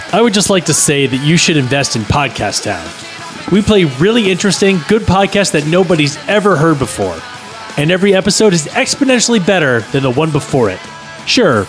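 A man reading the part of a cocky, self-important slacker skateboarder at an amateur comedy table read. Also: on the high side.

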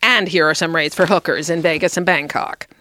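A woman's voice: sits low, brisk, pushed and bright. An actress playing a blunt, unflappable saleswoman in a comedy radio sketch, with matter-of-fact sales patter. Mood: cheerful sales pitch. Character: brisk, blunt, unflappable saleswoman serving customers.

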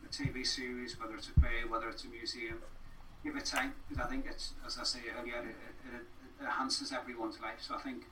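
A man's voice: medium pitch, little pitch movement, fairly quick. A man speaking conversationally as a podcast guest, earnest and repeating phrases for emphasis. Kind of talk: conversational interview. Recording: roomy, distant mic, faint noise.

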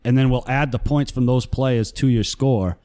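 A man's voice: mid-range voice, moderate pace, dark tone. A man co-hosting a casual talk show, easygoing and often agreeing, with casual swearing. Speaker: co-host. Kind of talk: casual chat.

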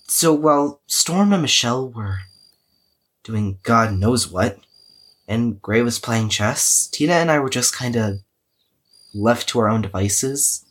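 A man's voice: mid-range voice, expressive, takes it slowly, fairly bright tone. An actor playing a weary, self-effacing young man who hesitantly records a wry, cynical audio diary in a drama podcast. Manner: hesitant, musing, wryly cynical.

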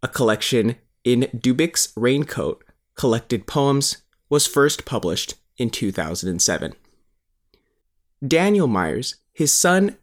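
Male news narrator, mid-range voice, formal measured read of a newspaper feature. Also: medium pitch. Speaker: newspaper reporter reading his article.

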